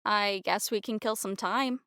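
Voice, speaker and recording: A woman's voice: mid-range voice, steady tone, measured pace, bright, forceful tone, clear, resonant tone, no echo, studio quality. A woman playing a headstrong, snappy adventurer in an audio fantasy drama. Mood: grudging. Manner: snappy, grudging.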